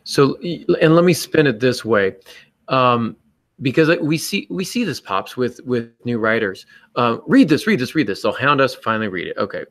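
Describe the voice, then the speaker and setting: A man's voice: mid-range voice, animated, normal speed, a bit bright, no room sound. A man co-hosting a podcast, speaking casually but emphatically and bluntly, acting out little exchanges as he gives advice. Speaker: podcast co-host and writer.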